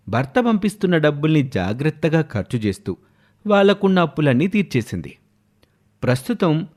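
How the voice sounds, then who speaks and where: A man tells a scripted moral tale as a storyteller. His voice sits fairly high and moves with very lively intonation.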